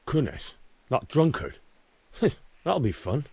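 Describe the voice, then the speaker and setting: An actor playing a wry, easygoing young blacksmith's son in a game cutscene, with a slightly husky voice. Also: male voice, fairly high pitch, sing-song, slow, a little mellow, no room sound, exaggerated voice. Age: young adult. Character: cheeky young village lad, easygoing. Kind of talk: video game cutscene acting.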